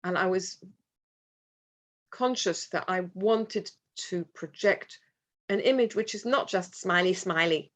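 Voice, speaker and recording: A woman speaking as a parent at a conference, giving a reflective presentation with slides, pausing and restarting as she goes. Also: lowish voice. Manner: earnest, reflective, with pauses and restarts. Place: conference hall.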